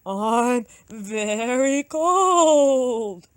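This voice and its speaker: A woman voicing a gentle, sad male bear who is cold and shivering, in a children's storybook read aloud, with drawn-out, hesitant, quavering speech. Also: on the high side, lively intonation, no room sound, studio quality. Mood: miserable, shivering.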